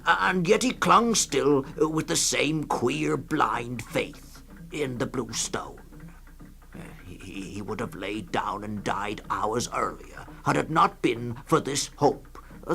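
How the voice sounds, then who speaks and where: Slow, gravelly hesitant storyteller. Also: male voice, mid-range voice, sharp tone, slight background noise, exaggerated voice. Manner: halting, full of hesitant uhs and pauses. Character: hesitant male storyteller recounting a grim desert tale. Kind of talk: dramatised first-person storytelling, 1960s radio reading. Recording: 1960s radio production with music.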